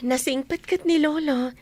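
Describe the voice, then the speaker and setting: A woman's voice: high-pitched, full character voice. A woman with an Ilocano accent acting in a radio drama as a playful, teasing granddaughter.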